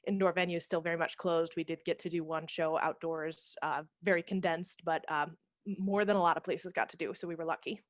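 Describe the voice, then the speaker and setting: An American woman in her thirties speaks in a slightly low voice. She is chatty and enthusiastic, like an interview guest on a video call. Her headset mic gives a dry sound with little room in it. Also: steady tone, fairly quick, no echo. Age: 30s. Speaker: theater managing director and actor, interview guest.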